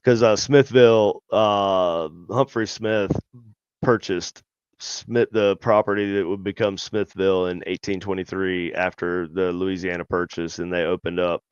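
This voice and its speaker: A man's voice: low voice, fairly even intonation, a little slow, clear, resonant tone, no echo, lo-fi sound. A man with a Southern US accent co-hosting a casual podcast chat, talking animatedly with stammered restarts and chuckles. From American South. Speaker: podcast co-host.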